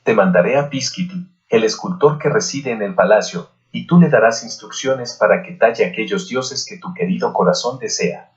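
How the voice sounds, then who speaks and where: Man reading as low-voiced gracious Aztec king. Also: moderate pace, muffled recording, lightly acted. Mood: indulgent. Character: gracious, modest, indulgent Aztec king and husband.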